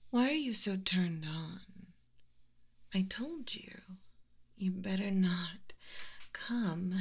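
A young woman voicing a teasing, commanding female spirit with a tail who keeps a library, speaking in a soft whisper with low laughs. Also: exaggerated voice. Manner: mocking, playful, commanding. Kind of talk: whispered audio roleplay.

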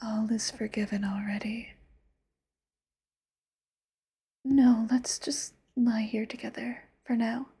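A woman's voice: fairly high pitch, fairly even intonation, a little slow, heavily acted. A woman voicing an obsessive, possessive immortal lover in a whispered audio roleplay, sweetly menacing. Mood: tender whisper. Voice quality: soft breathy whisper. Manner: intimate, sweetly menacing, sudden flares.

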